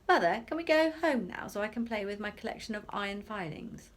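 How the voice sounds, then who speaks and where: A woman's voice: medium pitch, animated, fairly quick, a little hiss or hum, close to an everyday voice. A woman voicing a prim, bored young boy who complains haughtily.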